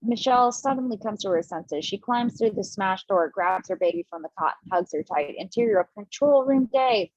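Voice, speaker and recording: Woman, stage direction reader, casual chatty asides, mid-pitched, dry, dull lo-fi call audio. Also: lively intonation, moderate pace, hardly any pauses, a little mellow, dry recording. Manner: casual, chatty reading with asides and self-corrections. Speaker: narrator reading stage directions. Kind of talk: amateur table read narration.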